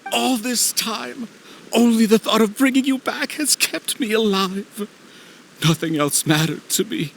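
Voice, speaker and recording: An actor playing an obsessive middle-aged man pining for his lost love in a video game, emotional, halting and pleading. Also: high-pitched, creaky, slight background noise, low-quality recording. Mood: anguished devotion. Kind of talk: dramatic video game voice acting.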